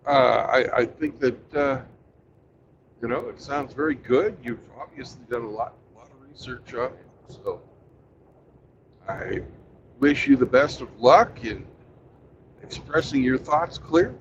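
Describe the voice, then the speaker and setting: A man hosts a casual talk and speaks in a blunt, direct way. His voice comes through a dull, lo-fi recording. Clear background noise runs under it. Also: mid-range voice, expressive, a little slow, pauses often. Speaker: host. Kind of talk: casual chat.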